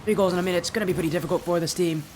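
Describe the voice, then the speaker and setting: A young male esports caster calls the match on his own with a high, light voice. He sounds a little stuffy in the nose and breaks into excited, high-pitched shouts when a play surprises him. A faint hum sits under his voice. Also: racing. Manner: excitable, yells in high-pitched bursts at big plays. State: slightly stuffy from allergies. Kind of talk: solo play-by-play commentary.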